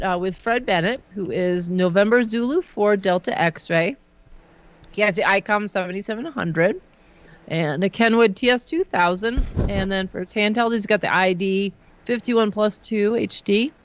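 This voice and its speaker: A hoarse woman co-host who is fighting a cold, reading listener details in a casual chat. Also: mid-range voice, normal speed, lo-fi sound. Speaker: co-host presenting listener photos.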